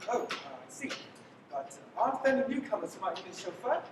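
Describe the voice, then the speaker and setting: Animated, echoey wary fugitive played by a woman. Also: on the high side, quick pace, close to an everyday voice. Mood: hesitant, polite. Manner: hesitant, earnest. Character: wary young man on the run, wrongly accused. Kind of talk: live radio play acting. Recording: reverberant hall, distant mic, clear background noise.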